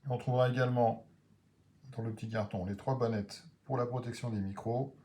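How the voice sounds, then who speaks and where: Mellow man presenting an unboxing. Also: mid-range voice, steady tone, a little fast. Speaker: motorcycle gear video creator.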